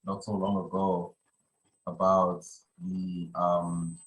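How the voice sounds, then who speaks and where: A man's voice: deep voice, completely flat delivery, takes it slowly, low-quality recording. A man hosting a podcast in casual conversation, rambling and often repeating his phrases. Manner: rambling, repeats phrases, many "you know". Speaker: podcast host.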